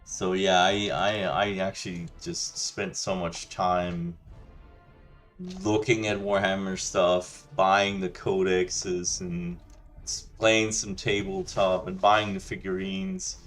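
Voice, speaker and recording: Guy ranting casually, low voice, rambly and chill, lots of you know. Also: slow, a bit bright, faint music behind. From Denmark. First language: Danish. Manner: rambling, loose and chatty, many restarts and "you know". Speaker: gaming channel host. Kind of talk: casual rant over gameplay.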